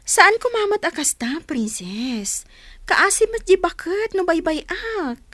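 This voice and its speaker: A woman's voice: very high voice, lively intonation, measured pace, fairly bright tone, no room sound, full character voice. A woman playing a kind, earnest young working woman in an amateur serial drama. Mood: pleading, upset.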